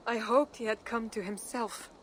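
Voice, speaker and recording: An actress playing a dignified, devout Frankish queen in a video game, speaking in a measured, formal, earnest way. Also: fairly high pitch, steady tone, slight background noise, natural voice, poor audio. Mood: regretful. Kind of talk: dramatic video game acting.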